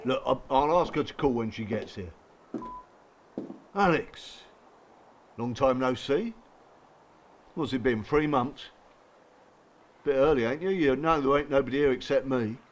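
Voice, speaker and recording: An actor playing a blunt, bruised working-class support group regular in a radio drama, colloquial, sardonic and probing. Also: male voice, medium pitch, measured pace, long pauses, slight background noise, natural voice. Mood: wry. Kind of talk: naturalistic radio drama acting.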